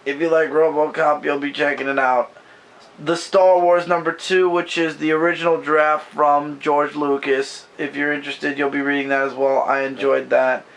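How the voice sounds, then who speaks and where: A hoarse man with a gravelly, fry-laden voice sitting a little high, talking loosely about comics from behind a home camera. He rambles and corrects himself as he goes, recorded casually in a bedroom. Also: little pitch movement, normal speed, fairly bright tone, rough recording. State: losing his voice, hoarse. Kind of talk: casual comic book review chat. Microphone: camera mic, speaking from behind the camera.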